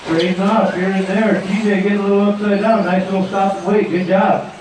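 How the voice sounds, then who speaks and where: A man's voice: high-pitched, brisk, very echoey, far from the mic, noisy background. A man giving live play-by-play commentary at an outdoor dirt track. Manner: steady play-by-play calling. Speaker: race announcer.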